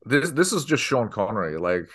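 A man's voice: medium pitch, big pitch swings, moderate pace, a bit bright, husky. A man co-hosting a casual movie podcast, wry and analytical. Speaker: podcast co-host. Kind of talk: casual movie-review chat.

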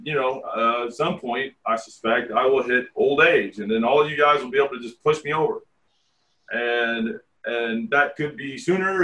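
A man in his fifties leads a group meeting as a tai chi teacher. He talks in a loose, rambling, blunt way. His voice is middle-pitched and sounds a little distant from the mic. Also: a bit bright. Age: 50s. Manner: rambling, frank, self-deprecating asides. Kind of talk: casual group meeting talk.

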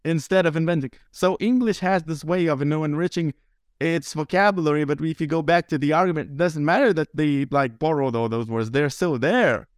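Podcast guest: man, smooth dry voice, earnest explainer with wry wit. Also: a little high, brisk, no echo. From Lithuania. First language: Lithuanian. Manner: earnest, explanatory, dryly humorous. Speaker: guest, translator and philosopher. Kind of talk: casual podcast conversation.

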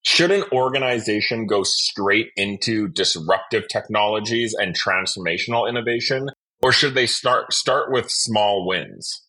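A man hosting a podcast interview in a conversational, measured and thoughtful way. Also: on the low side, bright, forceful tone, breathy edge, rough recording.

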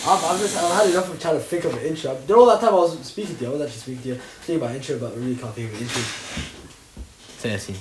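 Fast-talking young man, echoey room. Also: medium pitch. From Somalia. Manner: animated, laughing, quick-fire banter. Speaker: podcast host. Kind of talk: casual chat. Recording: echoey room with faint noise.

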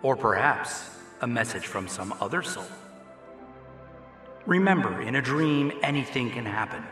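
A man playing the theatrical, deliberate storyteller of a mysterious dream tale in a radio drama. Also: mid-range voice, animated, fairly bright tone, roomy sound, faint music behind. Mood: theatrical, intriguing. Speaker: narrator. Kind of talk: scripted radio drama narration.

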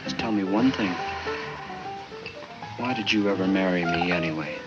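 An actor playing a tired, meek husband who pleads and sulks, in 1950s film noir style. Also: male voice, sits low, moderate pace, natural voice. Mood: plaintive. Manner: plaintive, pleading, wounded. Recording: dull old film soundtrack with score. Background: film music.

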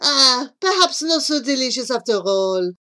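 A woman's voice: high-pitched, lively intonation, a little slow, pushed and bright, pitched above the performer's own voice, heavily acted. A woman voicing an amorous, theatrical male pigeon from a children's storybook, with a French accent and flowery, grand romantic speech. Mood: grandly eager. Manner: florid, grandly romantic, easily distracted.

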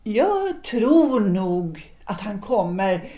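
A woman playing a composed, guarded politician's wife in a period audio drama, measured and dryly ironic in her delivery. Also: mid-range voice, big pitch swings, mellow, lightly acted. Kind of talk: period stage-play audio drama acting. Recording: echoey room.

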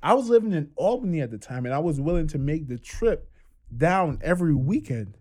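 Twenties male host, casual podcast storyteller, animated and loose. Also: on the high side, fairly quick. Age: 20s.

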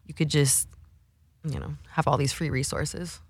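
A woman's voice: sits low, steady tone, moderate pace, husky, dry recording. A woman guest, a music producer and songwriter, talking in an upbeat, chatty and slangy way. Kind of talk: casual chat.

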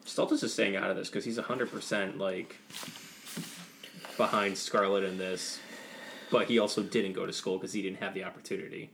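A man's voice: deep voice, level tone, moderate pace, creaky, fairly bright tone, slight background noise. A man playing a tabletop roleplaying game, casually narrating what his character thinks. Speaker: player.

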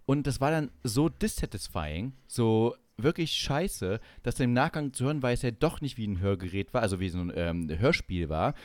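A man's voice: mid-range voice, expressive, moderate pace, few pauses, dry recording. A man around his forties co-hosting a casual podcast, rambling through memories with emphatic enthusiasm. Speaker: podcast co-host. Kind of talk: casual podcast chat with reminiscing.

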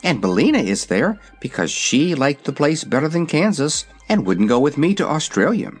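Man voicing a bright, lively young storybook girl. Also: a little high, normal speed, breathy edge, soft background music, voice pushed up. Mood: cheerful. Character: young girl, cheerful, confident, reassuring, from a fairy tale. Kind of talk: storybook character voice.

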